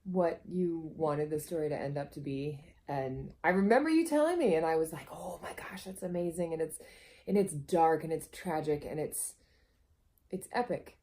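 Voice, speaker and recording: A woman's voice: low voice, normal speed, dark tone. A woman chatting casually in a supportive, reassuring way. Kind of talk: casual chat.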